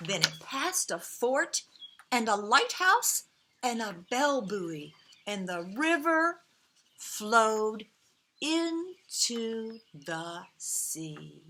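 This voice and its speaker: A woman with a bright, fairly high voice reads a children's story outdoors as birds chirp. Her delivery is slow and expressive, with dramatic pauses and stressed words. Now and then she speaks gently and directly to her young listeners. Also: a little hiss or hum. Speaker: storyteller reading a picture book to children. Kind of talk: expressive children's read-aloud.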